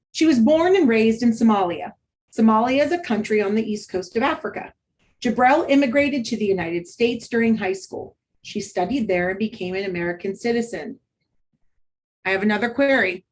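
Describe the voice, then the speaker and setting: Woman giving a structured lesson. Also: medium pitch. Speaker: literacy instructor. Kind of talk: instructional presentation with modeled read-aloud.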